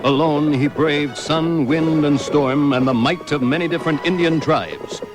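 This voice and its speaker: A man playing a grandiose, dramatic narrator introducing a parody western radio serial, with measured pauses. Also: on the high side, natural voice, low-quality recording. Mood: grand, stirring. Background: loud music.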